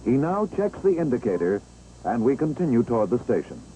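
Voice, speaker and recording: An American man narrates an old 1960s training film with a mellow, relaxed tone. His pitch moves in a lively way as he explains each step in a measured manner. An engine-like noise sits faintly behind him on the aged soundtrack. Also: poor audio.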